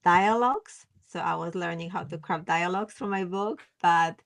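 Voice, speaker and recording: Polish lady author on a podcast, lowish gravelly voice, talks simple and hesitant with lots of ums. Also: normal speed, fairly bright tone. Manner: hesitant, simple phrasing with fillers and laughs. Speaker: memoir author, guest. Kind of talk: podcast interview.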